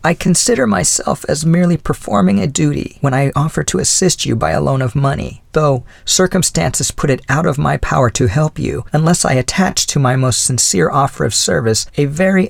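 Storybook reading: a courteous, wealthy old widow, as a male reader puts her on, pitched higher than his narration, dry close sound. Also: moderate pace, gravelly, hardly any pauses, dry recording. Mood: earnest. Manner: earnest, formal and courteous. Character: gracious wealthy elderly widow woman.

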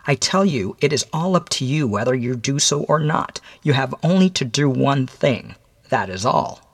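A man voicing a mysterious, calm older gentleman who questions coolly and deliberately in a dramatic audiobook. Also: mid-range voice, no echo, clean studio sound, voice pushed up. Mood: cool, cryptic. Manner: deliberate, matter-of-fact, drawn-out questioning. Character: mysterious older bespectacled visitor, calm, coolly probing inquisitor.

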